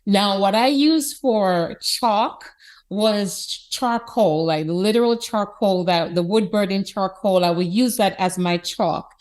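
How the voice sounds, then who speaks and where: Jamaican-accented woman, institute president, animated and expressive, middle pitch. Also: slow pace. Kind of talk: conversational interview.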